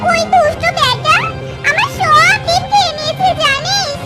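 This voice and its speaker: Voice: very high voice, unhurried. An actor voicing an angry, vengeful cartoon dinosaur in a threatening, scolding manner. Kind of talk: exaggerated children's cartoon acting. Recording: music behind the voice.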